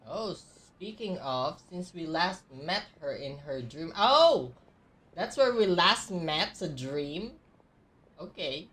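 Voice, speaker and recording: A woman's voice: fairly low pitch, expressive, slow, a bit bright. A woman with a Filipino accent chatting casually as a game livestreamer, switching between languages and greeting viewers. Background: game music.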